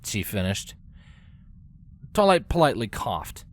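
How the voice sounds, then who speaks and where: A man's voice: fairly low pitch, very animated, unhurried. A man reading scripted story narration as the narrator of a tale.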